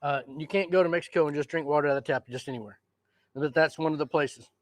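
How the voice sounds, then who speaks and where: A man's voice: on the high side, fast talker, no echo. Easygoing man explaining recipes. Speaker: cooking show host. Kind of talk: casual cooking demonstration.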